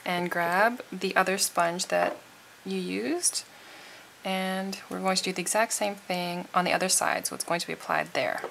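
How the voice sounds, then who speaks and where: Casual woman, lowish voice, slightly froggy. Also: fairly even intonation, moderate pace, gravelly, fairly bright tone, slight background noise. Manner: informal, chatty. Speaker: nail art tutorial host. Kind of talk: casual tutorial voice-over.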